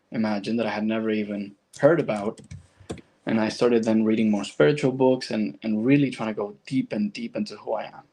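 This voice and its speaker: A man's voice: fairly low pitch, darkish tone. A man from Argentina with a Latin American accent and Spanish as his first language, speaking as a guest and telling his personal story reflectively in conversation.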